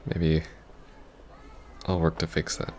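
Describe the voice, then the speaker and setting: A man playing a heartbroken, earnest ex-boyfriend in an intimate ASMR roleplay, speaking haltingly and sometimes dropping to a near whisper. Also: extremely low pitch, flat delivery, a little hiss or hum, exaggerated voice. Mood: nervous, hopeful. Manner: halting, hesitant, breathy half-whispers. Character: heartbroken, earnest young ex-boyfriend pleading for closure.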